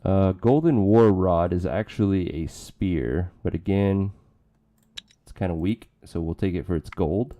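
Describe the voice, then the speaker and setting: A man casually commentating a strategy game with joking asides, his voice going hoarse. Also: low voice, unhurried, dark tone. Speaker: let's play commentator.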